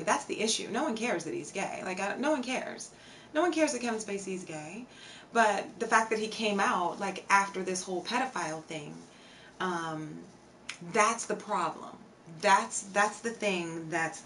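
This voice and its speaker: A young woman with a hoarse, tired voice, chatting casually with her viewers on a home live stream and filling her talk with "like" and "um". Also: on the low side, a bit bright, distant mic, a little hiss or hum, rough recording. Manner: chatty, reads out chat names, many fillers. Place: home streaming room with shelves.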